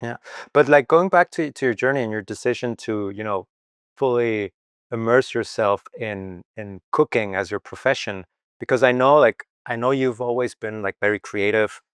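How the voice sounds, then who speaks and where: A man's voice: medium pitch, moderate pace, a bit bright, clean tone, dry recording. A man from Venezuela hosting a podcast, asking loose rambling questions full of "like" and "you know". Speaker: podcast host. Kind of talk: casual interview questions.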